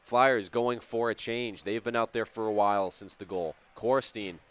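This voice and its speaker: A man calling a youth hockey game play-by-play, his voice a little hoarse and raspy, with brisk, chatty calls that rise sharply on chances and goals, plus joking asides. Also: lowish voice, fairly even intonation. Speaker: play-by-play commentator. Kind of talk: live hockey play-by-play commentary.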